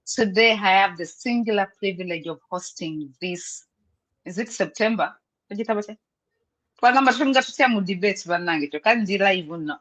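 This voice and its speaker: A woman's voice: mid-range voice, animated, normal speed, fairly bright tone, low-quality recording. Upbeat Ugandan woman hosting a live panel. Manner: upbeat, laughs often, switches between English and Luganda. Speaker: host.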